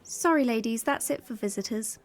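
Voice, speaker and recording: Woman as a high-pitched, brisk, chatty nurse. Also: a little hiss or hum, lightly acted. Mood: brisk, friendly. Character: brisk, chatty, friendly female hospital nurse. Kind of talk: audio drama acting.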